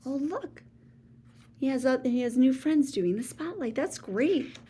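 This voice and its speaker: A woman reading a children's picture book aloud, expressive, with gasps and hushed asides to her listeners. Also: high voice, a little slow, breathy edge. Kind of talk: read-aloud storytelling for children.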